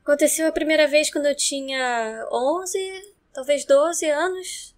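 A Brazilian woman with a high voice performs a scripted testimony. She speaks in the first person, as if recalling her past. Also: unhurried. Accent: Brazilian Portuguese.

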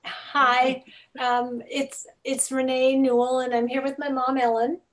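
A woman's voice: sits high, level tone, a little slow. A woman taking part in an online group class, introducing herself in a casual, conversational way. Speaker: class participant.

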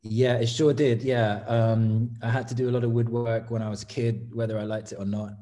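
An English man in his thirties, a guest artist, talking casually over a video call from his home studio, thoughtful, with many pauses and restarts. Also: medium pitch, flat delivery, dark tone. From England. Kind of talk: casual interview chat. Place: home studio room, indoors. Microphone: laptop webcam video call.

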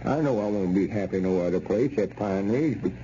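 A man's voice: on the low side, animated, fairly quick, dark tone, natural voice. An actor playing a stubborn, honest older country man with a rural Southern American accent, folksy, in 1930s radio comedy style. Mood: resigned, stubborn. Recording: dull, noisy old radio recording.

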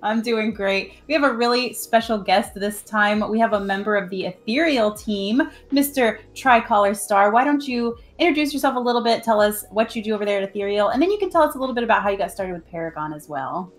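Young woman co-hosting, medium pitch. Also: a little fast, few pauses. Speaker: co-host. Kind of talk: casual chat.

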